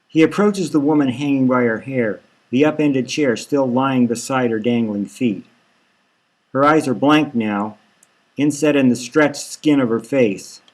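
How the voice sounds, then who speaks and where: A man's voice: medium pitch, measured pace, darkish tone, low-quality recording. A man reading his own novel aloud as scripted audiobook narration.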